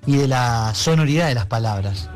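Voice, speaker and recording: A man with an Argentine accent speaking as a musician guest in an interview, reflective and halting, often checking for agreement. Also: mid-range voice, animated, faint music behind. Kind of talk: conversational interview.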